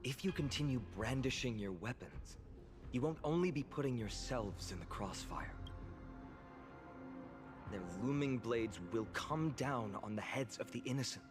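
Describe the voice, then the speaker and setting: An actor playing a cautious, earnest young male warrior in a video game, speaking in a polite, measured way. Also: medium pitch, expressive, natural voice. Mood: wary, firm. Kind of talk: scripted video game character acting. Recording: game music behind the voice. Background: game music.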